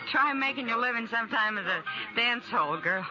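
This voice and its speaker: A woman's voice: on the high side, animated, measured pace, over music. Saloon dance hall girl, wry, worldly, loyal, old radio western, muffled recording. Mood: wry, sympathetic. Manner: wry, sympathetic. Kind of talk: 1950s radio western acting.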